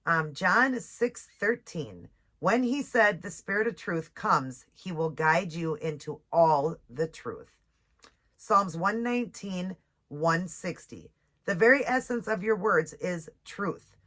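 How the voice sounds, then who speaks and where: A woman with a low, stuffy voice, still getting over a cold. She chats casually to her viewers. She reads devotional lines at an unhurried pace. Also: lively intonation, a bit bright. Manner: chatty, reads scripture slowly, apologizes often. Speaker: nail and devotional vlogger. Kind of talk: casual chat with devotional reading. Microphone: ring light setup, camera close.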